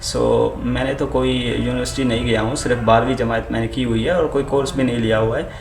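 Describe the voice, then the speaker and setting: A young man with an Urdu accent, a native Urdu speaker, giving language-learning advice in a halting casual vlog style full of fillers and restarts, switching between English and Urdu. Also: mid-range voice, steady tone. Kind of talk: casual talking to camera. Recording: echoey room with faint ambient noise.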